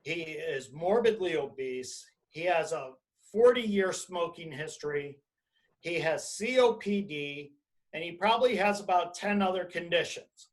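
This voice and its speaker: Man, high voice, deliberate emphatic phrasing, explanatory webinar presenter, video call. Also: lively intonation, poor audio. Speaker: employment attorney presenting a webinar. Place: indoors, on a video conference call.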